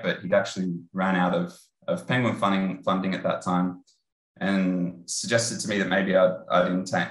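A man in his thirties with an Australian accent, giving a relaxed online research talk over a video call. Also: low-pitched, roomy sound, rough recording. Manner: relaxed, conversational, with filler pauses. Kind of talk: online lecture presentation. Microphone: earbud headset on video call.

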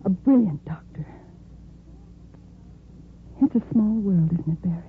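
A woman's voice: lowish voice, expressive, unhurried. An actress playing an earnest, romantically torn young woman doctor in a 1950s radio soap opera, with dramatic phrasing. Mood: admiring, intense. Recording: noisy tinny 1950s radio recording.

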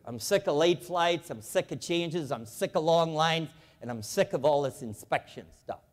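A man in his seventies giving a lively, folksy, emphatic storytelling speech to a church convention, walking the floor with a lapel mic. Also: fairly high pitch, moderate pace, long reverb tail. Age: 70s. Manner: animated, folksy, emphatic repetition. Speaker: convention speaker. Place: large convention hall.

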